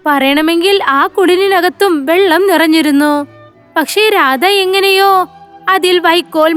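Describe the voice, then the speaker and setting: A woman's voice: very high voice, a bit bright. A woman narrating a scripted moral story for children.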